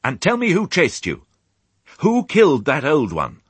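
A man doing a gruff old sheepdog. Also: medium pitch, very animated, slow, no echo, pitched above the performer's own voice, exaggerated voice. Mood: irritated. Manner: curt, gruff, barked orders. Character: proud, impatient old female sheepdog.

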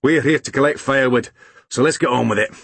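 A man voicing a grumpy, no-nonsense old grandfather in a children's storybook, gruff and curt. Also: medium pitch, fast talker, fairly bright tone, no echo, pitched above the performer's own voice.

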